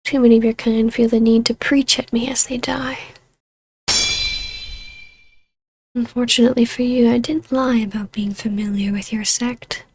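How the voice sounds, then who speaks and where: A woman voicing a taunting, imperious vampire baroness in a scripted ASMR roleplay, speaking in a measured, hushed voice that slips into whispers. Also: a little high, steady tone, a little hiss or hum, natural voice. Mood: mocking, amused. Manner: slow, hushed, breathy delivery slipping into whispers. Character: vampire baroness, ancient huntress turned ruler, taunting, imperious, regal.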